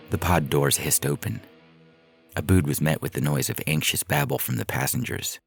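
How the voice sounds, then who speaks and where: Very deep, gravelly male story narrator. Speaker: podcast story reader. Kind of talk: scripted narration of science fiction. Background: music.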